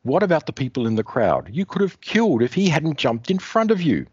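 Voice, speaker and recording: A man voicing a firm young superheroine who talks briskly and sternly. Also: a little high, huge pitch swings, darkish tone, slightly rough, dry recording, voice pushed up, full character voice. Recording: dull lo-fi dry recording.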